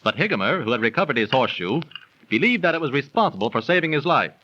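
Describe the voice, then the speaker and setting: An actor playing a knowing storyteller who explains a tale to friends in a 1930s radio drama, on an old recording. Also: male voice, medium pitch, sing-song, fast talker, muffled recording, lightly acted. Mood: explanatory. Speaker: narrator.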